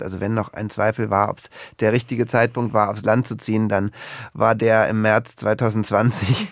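Gravelly, thoughtful man from Berlin. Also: lowish voice, dry recording, studio quality. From Berlin, Germany. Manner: thoughtful, rambling with many fillers. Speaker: pianist, interview guest. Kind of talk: casual radio interview talk.

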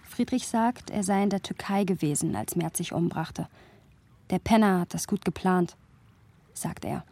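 Slightly husky young woman narrator. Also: fairly low pitch, normal speed, warm tone. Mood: sober, reflective. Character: thoughtful, playful young woman recounting her close male friend. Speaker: narrator and lead in a radio play. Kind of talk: literary scripted narration with lively dialogue.

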